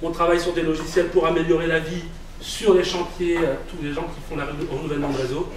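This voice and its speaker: A man whose first language is Arabic gives a conference talk in a very echoey hall. He stands back from the microphone and is slightly high-pitched. He hesitates often and restarts his phrases. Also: flat delivery, quick pace, slight background noise. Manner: hesitant, many fillers and restarts. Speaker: conference speaker. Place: large echoing hall. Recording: echoey hall, mic at a distance.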